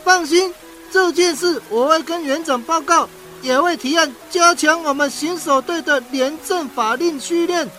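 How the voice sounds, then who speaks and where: A woman playing a calm, fair-minded tree frog captain in a children's radio drama. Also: high voice, moderate pace, soft background music. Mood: reassuring.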